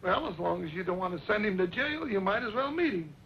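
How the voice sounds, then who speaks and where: An actor playing a folksy, eccentric older judge in an old western radio drama, creaky and a little pompous. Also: male voice, expressive, fast talker. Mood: genial. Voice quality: creaky, high-pitched. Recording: dull, hissy old radio recording.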